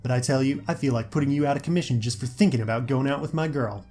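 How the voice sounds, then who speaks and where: A man's voice: medium pitch, quick pace, darkish tone, soft background music, natural voice. Man acting a menacing teenage school bully. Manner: taunting, menacing. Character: big belligerent ninth-grade boy bully, jealous and threatening.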